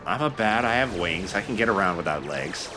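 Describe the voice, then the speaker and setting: A man's voice: low voice, lively intonation, a little fast, noisy background. A man giving casual, joking banter as a let's play commentator. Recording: game music behind the voice.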